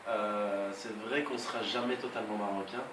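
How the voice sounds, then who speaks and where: A young man with a fairly low voice talks calmly and thoughtfully, pausing and restarting as he searches for words. He sits some distance from the microphone in an echoey room, with clear background noise around him. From Belgium. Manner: calm, measured, with frequent hesitations. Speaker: interviewee. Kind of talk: casual interview answers. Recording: echoey room, voice far from mic, noisy.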